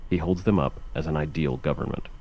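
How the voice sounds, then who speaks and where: A man giving measured, scripted documentary narration. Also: extremely low pitch, darkish tone.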